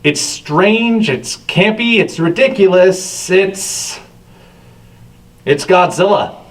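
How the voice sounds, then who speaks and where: A man's voice: sits high, takes it slowly. A man hosting a review with scripted commentary and a wry, sardonic manner. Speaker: reviewer, show host. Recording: distant from the microphone.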